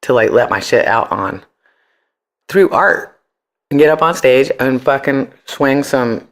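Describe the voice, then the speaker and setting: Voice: mid-range voice, a little slow. A middle-aged person with an American accent tells stories candidly as an interview guest, in slangy, profane language, acting out the voices in arguments. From United States. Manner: animated, profane, slangy, acts out quoted arguments. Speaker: podcast guest, punk band singer.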